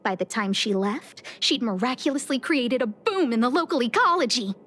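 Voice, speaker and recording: Polite, high-voiced young station staffer. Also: female voice, expressive, normal speed, fairly bright tone. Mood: admiring. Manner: polite, eager, helpful. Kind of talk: scripted video game voice acting. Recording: game dialogue with music behind.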